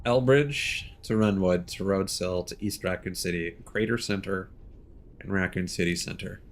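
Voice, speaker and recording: A man live-streaming a game, giving joking, sweary, animated commentary as he plays. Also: sits low, normal speed. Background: video game music and sound.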